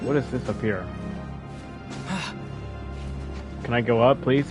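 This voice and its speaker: A man with a medium-pitched voice comments casually on a game he is playing. He rambles and thinks aloud in an informal way. Game music is clearly heard behind him. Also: slow pace. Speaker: gaming video creator.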